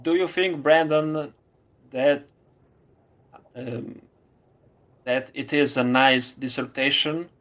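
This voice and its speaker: Slow, halting Italian-accented man. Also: mid-range voice, steady tone, a bit bright. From Italy. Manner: halting, many fillers and restarts. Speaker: student. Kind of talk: casual conversation.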